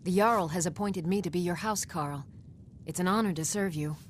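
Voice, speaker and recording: Low-voiced loyal warrior woman, acted. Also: brisk, slight background noise, lightly acted. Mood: dutiful, respectful. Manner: formal, plainspoken, steady. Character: dutiful, loyal female warrior housecarl. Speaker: voice actor in a fantasy video game. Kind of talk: fantasy game dialogue.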